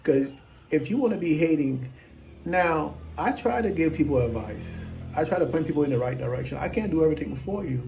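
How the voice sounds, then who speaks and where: A man's voice: fairly high pitch, expressive, a little fast, gravelly, a little mellow, soft background music, rough recording. A man in his fifties, talking casually to camera as an investing vlogger, blunt, self-assured and rambling. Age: 50s.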